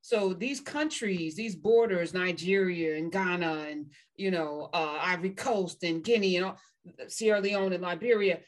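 A woman co-hosting a casual talk show, speaking briskly and emphatically with blunt asides. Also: on the low side. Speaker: podcast co-host. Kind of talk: casual conversational discussion.

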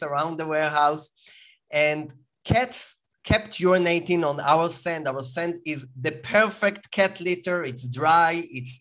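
A man from Israel speaks with an Israeli accent in a slightly higher-than-usual voice. As an interview guest, he explains things patiently, with fillers and restarts along the way. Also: a bit bright. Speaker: guest, company founder. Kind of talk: interview answers.

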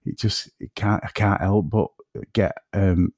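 A man's voice: on the low side, steady tone, unhurried, darkish tone, dry recording, studio quality. A man from North West England with a northern English accent, from between Manchester and Liverpool, chatting casually as a podcast guest and rambling off topic. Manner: rambling, self-deprecating, drifts off topic. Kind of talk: casual chat.

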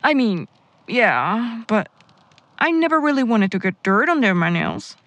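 A woman's voice: on the high side, big pitch swings, unhurried, fairly bright tone, no room sound. A woman playing a caring, wry young student in an audio drama, speaking casually and hesitantly. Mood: groggy, casual. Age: young adult. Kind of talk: naturalistic audio drama acting.

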